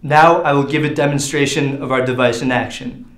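A man in his twenties giving a scripted presentation as a student, formal and measured, standing indoors a few metres from the camera. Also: mid-range voice, fairly even intonation, a bit bright. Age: 20s. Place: bare indoor room. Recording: roomy, echoey sound.

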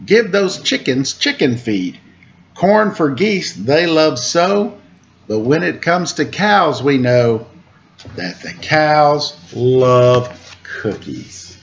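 A man in his forties reads a children's picture book at an unhurried pace. His pitch rises and falls in a sing-song, stretching key words. The recording is dull, made on a webcam in a room at home, with noise underneath. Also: very animated. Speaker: storyteller reading aloud to children. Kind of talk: picture book read-aloud with casual asides.

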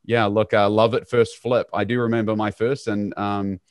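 A man, a guest telling his story in a relaxed, conversational way with frequent fillers, on a video call from a small home office. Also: fairly low pitch, moderate pace, no echo, studio quality. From New Zealand. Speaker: guest, real estate CEO. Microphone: studio mic on boom arm, video call.

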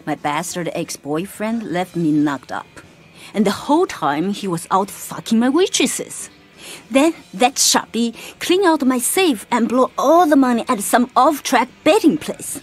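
Casting: brash, bitter club owner, raspy edge on a raised pitch, crime game. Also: female voice, expressive, unhurried. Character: brash bitter woman bar owner seeking favour. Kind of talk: video game character acting.

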